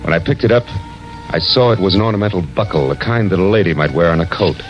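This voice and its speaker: A dull vintage radio recording with music behind it carries a male actor playing a hardboiled American private eye. His voice is low and he talks fast, clipped and sardonic, in the style of 1940s radio narration. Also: close to an everyday voice. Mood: wry, grim. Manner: clipped, hard-boiled, sardonic. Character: tough, wisecracking male private detective.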